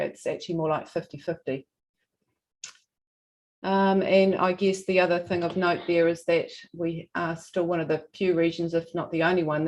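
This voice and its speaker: A woman with a New Zealand accent and a fairly low voice presents at a formal hearing. She feels her way through the points, often stopping to rephrase. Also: a little mellow, low-quality recording. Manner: halting, hesitant delivery with frequent self-corrections. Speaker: regional council representative presenting.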